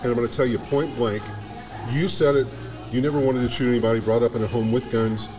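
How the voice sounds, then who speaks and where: A man's voice: medium pitch, steady tone, fast talker, over music. A man hosting a casual radio talk show, blunt and emphatic, often repeating himself.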